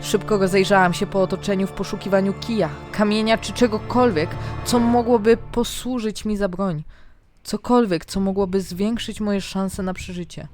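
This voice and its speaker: Female narrator, first-person fantasy tale, dramatic scripted read, medium pitch, light music bed. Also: normal speed. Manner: dramatic, expressive storytelling. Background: background music.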